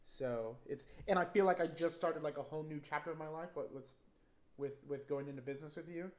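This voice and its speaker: A man in his thirties co-hosting a casual advice chat, animated and joking, quick to laugh. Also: fairly high pitch, roomy sound. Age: 30s. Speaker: real estate agent, co-host. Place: indoor room set, seated at a table.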